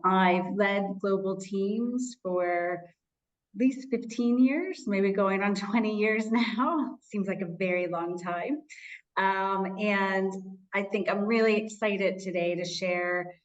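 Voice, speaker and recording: A woman from the United States, a guest speaker, introducing herself with enthusiasm on a video call. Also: medium pitch. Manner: upbeat, enthusiastic. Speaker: guest speaker, coaching business leader. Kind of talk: online webinar introduction. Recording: dull lo-fi call audio.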